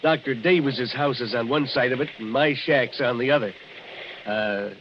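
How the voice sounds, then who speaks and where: Guy doing rough folksy old fella giving warnings, muffled 40s radio drama. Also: medium pitch, moderate pace, a little hiss or hum, close to an everyday voice. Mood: genial. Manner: folksy, emphatic storyteller. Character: rough, plain-spoken old local man, ominously cautionary. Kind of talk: 1940s radio acting.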